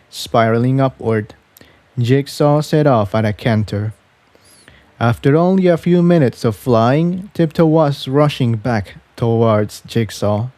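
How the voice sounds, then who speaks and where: A man narrates a story from a script in a mellow, relaxed voice. He takes his time, and the recording is close and dry. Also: medium pitch, no room sound.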